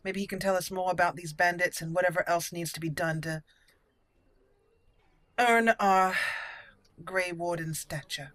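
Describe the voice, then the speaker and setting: A woman's voice: fairly low pitch, steady tone, normal speed, long pauses, a bit bright. A woman hosting a roleplay game playthrough, mixing commentary with theatrical, haughty in-character lines. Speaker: gaming host.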